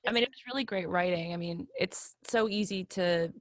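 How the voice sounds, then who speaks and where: Low-pitched woman, dry close sound. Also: fairly quick, dry recording. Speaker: actor. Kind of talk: casual appreciative feedback. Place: indoor video call.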